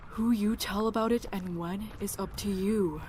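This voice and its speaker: A woman's voice: medium pitch, steady tone, normal speed, a little mellow, a little hiss or hum, close to an everyday voice, low-quality recording. An actress playing a perceptive woman doctor in a zombie apocalypse audio drama, chatty and matter-of-fact. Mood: frank, understanding.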